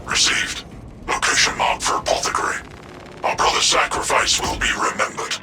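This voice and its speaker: An actor playing a cold, augmented male tech-priest who speaks in terse, mechanical statements through a robotic filter. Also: moderate pace. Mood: clinical. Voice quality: flat mechanical delivery. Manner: terse clipped machine-like statements. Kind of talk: video game cutscene acting. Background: game music.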